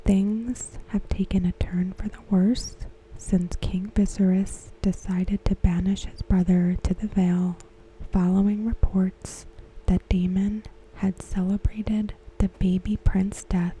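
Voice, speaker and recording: A woman softly whispering a scripted recap in ASMR style, close to the microphone, with gentle, deliberate phrasing. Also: mid-range voice, level tone, slight background noise, low-quality recording. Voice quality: soft, breathy, mostly whispered. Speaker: ASMR host. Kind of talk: ASMR, softly reading a scripted episode synopsis.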